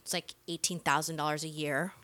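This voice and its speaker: A woman's voice: sits low, flat delivery, brisk, pushed and bright, dry recording. A middle-aged woman from Costa Rica, a podcast guest telling career stories in a casual, conversational way. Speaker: podcast guest, music manager.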